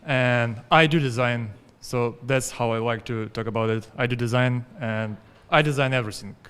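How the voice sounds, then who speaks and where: A man from Ukraine, a designer, introducing himself in a relaxed, joking way. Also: mid-range voice, moderate pace, clean tone. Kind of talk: casual self-introduction. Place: indoor co-working space. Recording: long reverb in a big room.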